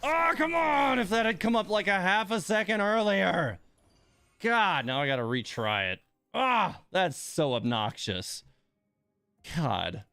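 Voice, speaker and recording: A man's voice: sits high, sing-song, unhurried, sharp tone, clean tone, no room sound. A man livestreaming a game, thinking aloud in casual commentary and muttering complaints and coaching to himself.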